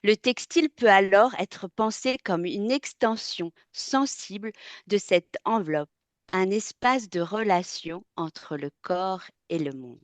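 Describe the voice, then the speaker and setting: A woman's voice: medium pitch, dry recording. Thoughtful woman giving a webinar talk. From France. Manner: thoughtful, often pausing with fillers and restarting words. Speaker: textile artist and webinar speaker. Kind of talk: webinar presentation.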